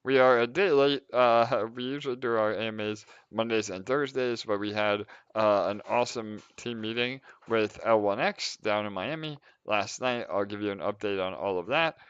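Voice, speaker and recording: A man's voice: fairly low pitch, moderate pace, hardly any pauses, a bit bright, clear, resonant tone, no room sound. A man hosting a casual livestream Q&A, talking with many filler sounds. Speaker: team lead and host. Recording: slightly dull, dry audio.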